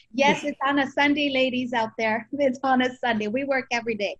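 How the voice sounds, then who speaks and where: A woman's voice: sits high, steady tone, normal speed, no room sound, highs cut off. A woman hosting a conversational podcast, enthusiastic and encouraging, mixing in some Spanish phrases. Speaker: podcast host.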